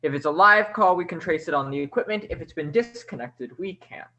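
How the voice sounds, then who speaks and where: Chief operator: bright, crisp-edged tone, cool, officious, formal, radio drama. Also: medium pitch, highs cut off. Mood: cool, procedural. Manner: measured, formal, bureaucratic. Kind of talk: radio thriller acting.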